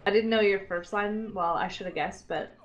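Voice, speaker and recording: A woman gamer in loose, playful conversation during a match. She swears easily and keeps up the banter at a medium pitch. Faint gunfire from the game sits behind her voice. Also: normal speed, highs cut off, slight background noise. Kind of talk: casual gameplay chat with swearing. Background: game battle sounds, gunfire.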